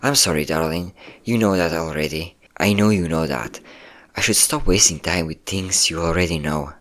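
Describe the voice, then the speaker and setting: A man's voice: deep voice, moderate pace, fairly bright tone. A man playing a possessive, manipulative ex-boyfriend in a dramatic ASMR roleplay, coaxing with pet names and sweet-talking menace. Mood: accusing, possessive. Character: possessive, manipulative yandere ex-boyfriend hunting his hidden partner.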